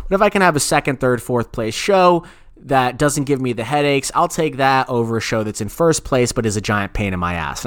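A man with a mid-pitched voice talks in a dry, close sound. He gives casual, opinionated podcast talk, circling back over his phrases as he explains things, with profanity mixed in. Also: no echo. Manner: profane, repetitive, rambling explanations. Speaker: podcast co-host. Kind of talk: casual opinionated talk about sports radio.

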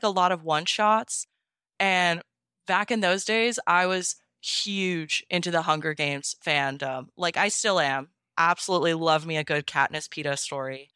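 A young woman with a bright, clean voice that sits a little low. She talks as an enthusiastic interview guest, casual and chatty, dropping "like" all through her sentences. The sound is dry and close. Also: clean tone, dry recording. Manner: enthusiastic, gushing, peppers talk with "like". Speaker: podcast guest.

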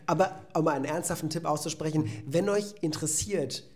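A man from Belgium co-hosting a casual podcast chat, talking in an animated, ironic way and getting loud when he rants. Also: fairly high pitch, a little fast. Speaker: podcast co-host. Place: echoey room. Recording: roomy echo.

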